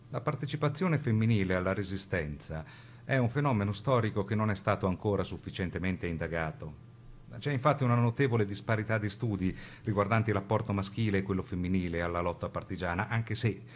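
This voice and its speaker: A man giving an emphatic, rhetorical scripted narration for a radio documentary play, with weighted pauses. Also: low-pitched, a little hiss or hum.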